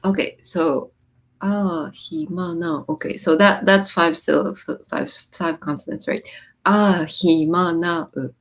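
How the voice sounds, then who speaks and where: Woman, Japanese mother tongue, fairly low voice, casual livestream host, chatty with lots of fillers. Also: fairly even intonation, takes it slowly. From born in Japan, raised in the US. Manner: reads viewer comments and explains lyrics, with many fillers and restarts.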